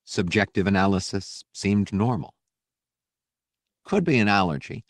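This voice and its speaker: A military doctor, as a male audiobook narrator plays him, talks briskly and plainly. He is clinical and reassuring, in a low male voice with a dry, close sound. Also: no room sound.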